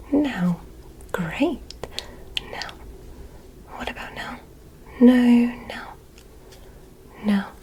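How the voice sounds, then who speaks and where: A woman playing a curious, gently condescending alien medical examiner in an ASMR roleplay, speaking in a soft, breathy, measured whisper with clinical instructions. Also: fairly high pitch, rough recording. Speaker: ASMR performer.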